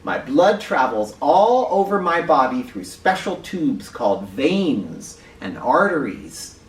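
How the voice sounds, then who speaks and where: A man hosting an educational read-aloud for children, speaking directly to them with clear emphasis and questions. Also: mid-range voice, big pitch swings, slow pace. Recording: roomy, distant mic with faint noise.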